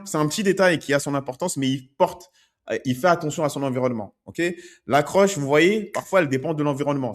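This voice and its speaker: A man working as a dating coach, talking through video clips in a conversational way and often checking in with "ok?". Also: on the high side. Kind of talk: commenting on street-approach video clips.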